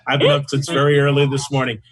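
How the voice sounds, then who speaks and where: A man's voice: mid-range voice, monotone, fast talker, crowd noise. A man casually hosting an online club meeting from home on a video call microphone. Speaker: meeting host. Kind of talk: casual chat.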